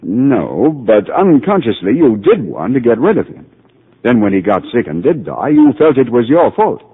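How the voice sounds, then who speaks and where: A calm middle-aged psychiatrist in a 1940s radio drama, with a low, husky voice that rises and falls in a lively way as he patiently explains. He stays gentle and reassuring throughout. The sound is a tinny old radio broadcast. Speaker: narrator and doctor.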